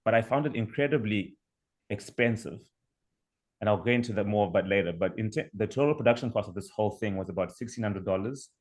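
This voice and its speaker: A young man with a Zimbabwean accent and a lowish voice pitches his startup. He explains things in a measured way with small restarts, speaking over a video call. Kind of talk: online pitch presentation.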